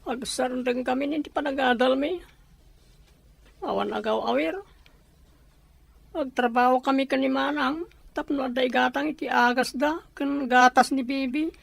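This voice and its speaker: A woman's voice: high-pitched, fairly even intonation, normal speed, vocal fry, long pauses, no echo. A woman playing an anxious, dutiful younger sister in an Ilocano audio drama, earnest and plaintive, with an Ilocano accent. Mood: resigned, sad.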